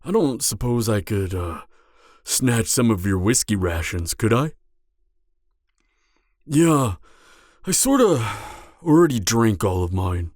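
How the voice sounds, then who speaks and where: A man's voice: fairly low pitch, lively intonation, takes it slowly, pauses often, a little mellow, no room sound, clean studio sound. A man playing an anxious, awkward, slightly drunk part-giant mercenary in an intimate fantasy audio roleplay, hesitant and stammering. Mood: sheepish, hesitant, then defensive, tipsy. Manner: hesitant, stammering, trailing off, sighing.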